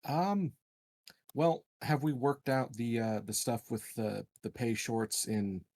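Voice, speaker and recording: A man's voice: medium pitch, slow, a little mellow, dry recording. A man casually discussing rules as a player in a tabletop roleplaying game, tentatively thinking aloud.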